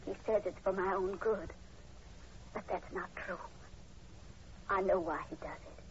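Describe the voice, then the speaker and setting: A woman's voice: medium pitch, measured pace, natural voice. Woman playing anxious young female captive, pleading, 1940s radio melodrama, muffled recording, faint noise. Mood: anxious, distrustful. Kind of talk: 1940s radio horror acting.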